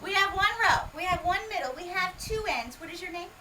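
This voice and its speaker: A woman's voice: very high-pitched, a little fast. A woman from Hawaii performing magic, teasing and quizzing a small parlour audience with comic patter. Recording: distant mic with steady background noise.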